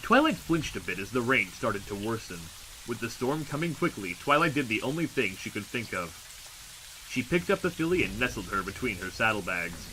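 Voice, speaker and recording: Bright-voiced man narrating a dramatic story. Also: lowish voice, big pitch swings, moderate pace, a little hiss or hum, rough recording. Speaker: audiobook narrator.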